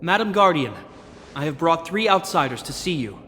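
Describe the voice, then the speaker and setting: An actor playing a formal, dutiful captain of a fortress city in a video game, measured and earnest. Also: male voice, on the high side, animated, bright, forceful tone, slight background noise. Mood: formal, businesslike. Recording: music behind the voice.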